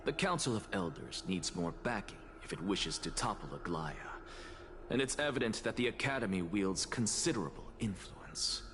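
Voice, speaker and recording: A man voicing a haughty heretic scholar in a video game, speaking in an eloquent, measured, condescending way. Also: on the low side, lively intonation, background noise, natural voice. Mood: cool calculation. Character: haughty male heretic scholar and academy leader.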